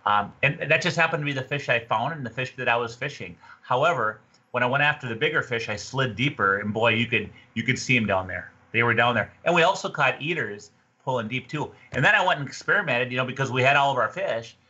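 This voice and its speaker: A man in his fifties talks casually and conversationally as an interview guest. His voice is forceful and rough-edged, coming through slightly muffled lo-fi video call audio. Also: medium pitch, lively intonation, fast. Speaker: podcast guest, fishing tourism representative. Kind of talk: casual fishing report interview. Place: small room indoors, on a video call.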